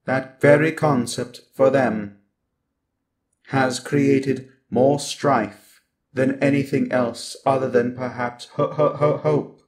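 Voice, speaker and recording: A man playing a cold, menacing evil robot in a scripted ASMR roleplay, deliberate, with stuttering glitched repeats of syllables and words. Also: mid-range voice, fairly even intonation, natural voice. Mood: cold contempt.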